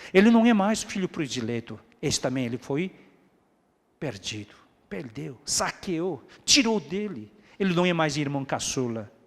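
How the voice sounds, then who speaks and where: Man, pastor, sermon, slightly high voice, very animated, hushed then loud emphasis, echoey church hall. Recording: echoey hall with long reverb.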